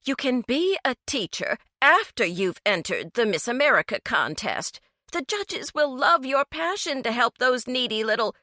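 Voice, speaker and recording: A woman voicing a frantic, scolding middle-aged mother, high and shrill. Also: very animated, moderate pace, sharp tone, no room sound, studio quality, heavily acted.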